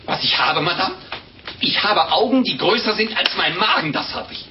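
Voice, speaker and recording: A man's voice: high voice, lively intonation, moderate pace. An actor playing a nervous, over-polite monk in an old radio play, stammering and hesitating as he talks. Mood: uneasy. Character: nervous male monk, polite, uneasy, evasive. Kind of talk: 1950s German radio play acting. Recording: muffled old 1950s radio recording, clicks, hiss.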